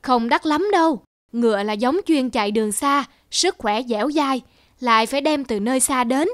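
A woman voicing a persuasive, boastful male horse seller in an animated folk tale, coaxing. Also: high-pitched, normal speed, dry recording, clean studio sound, natural voice.

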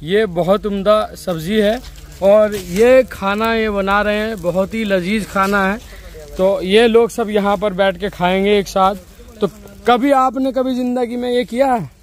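A man's voice: sits high, fairly even intonation, unhurried. A young man, chatting upbeat to viewers while walking around outdoors with a phone camera. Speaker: vlogger interviewing scout trainees. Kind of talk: walking vlog narration and interviews. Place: outdoors in rural fields and woods. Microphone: phone camera held at arm's length.

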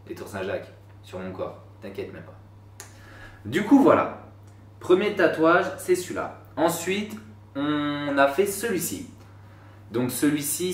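A man in his twenties chatting casually to camera in a slangy, rambling way, with self-mocking asides, recorded indoors in a small room. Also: lowish voice. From France. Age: 20s. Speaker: YouTuber. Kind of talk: casual vlog talk to camera. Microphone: camera a few feet away. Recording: roomy, slightly distant sound.

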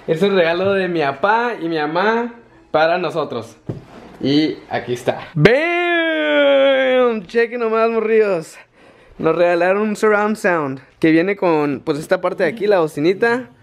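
A man's voice: sits high, lively intonation, a little slow. A young man, talking to a handheld camera in his apartment in an upbeat, slangy way. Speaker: vlogger. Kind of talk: casual vlog chat. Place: small apartment indoors. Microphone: handheld camera at arm's length.

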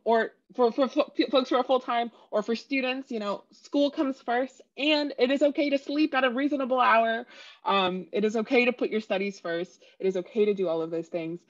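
A young woman on a panel over a video call, speaking earnestly and encouragingly, laughing now and then. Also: fairly high pitch. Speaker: panelist, civic engagement organizer. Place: indoor room on video call. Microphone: headset. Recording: slightly dull video call audio.

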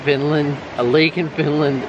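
A man's voice: on the high side, fairly even intonation, moderate pace, highs cut off. A young man chatting and joking with his travel partner outdoors. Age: young adult. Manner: jokey, laughs along. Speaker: travel vlogger. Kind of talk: casual chat. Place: outdoors by a forest path. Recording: noisy outdoor recording.

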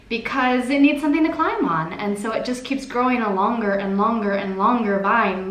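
Chatty young woman, echoey plant room. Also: mid-range voice. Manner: chatty, playful, self-mocking asides. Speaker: plant vlogger, host. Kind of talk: casual chat with how-to tips. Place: plant-filled living room, indoors. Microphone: camera at a distance, seated at a table. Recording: roomy echo.

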